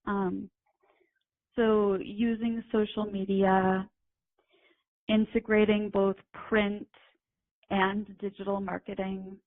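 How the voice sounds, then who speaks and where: A young woman, a guest writer, explaining her views in a measured, conversational way with occasional ums. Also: mid-range voice, flat delivery, dry recording. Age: young adult. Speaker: guest, retail writer and editor. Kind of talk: casual interview chat. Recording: dry, phone-like line.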